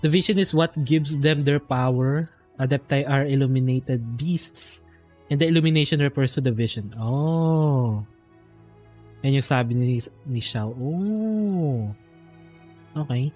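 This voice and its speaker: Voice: medium pitch, measured pace, a little mellow. A streamer with a Filipino accent, reacting and musing aloud in casual game commentary that switches between two languages. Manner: informal, reactive, muses aloud. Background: game music.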